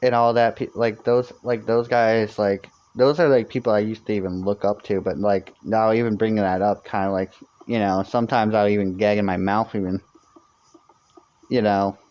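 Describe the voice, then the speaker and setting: A man's voice: little pitch movement, normal speed. Rambling young man, lo-fi stream audio. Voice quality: fairly high-pitched for a man. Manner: rambling, many fillers and restarts. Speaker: live streamer. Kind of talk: casual live-stream chat.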